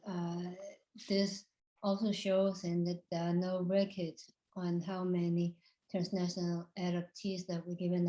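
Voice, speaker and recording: Female panel moderator, halting delivery full of fillers, warm tone, roomy sound. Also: lowish voice. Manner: frequent ums and uhs. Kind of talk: hesitant panel introduction. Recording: echoey room.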